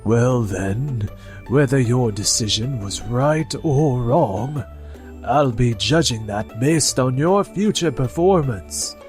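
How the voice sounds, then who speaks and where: Slow, stern old company chairman played by an actor. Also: medium pitch, animated, a little mellow, faint music behind. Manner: measured, authoritative. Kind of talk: anime-style manga dub acting.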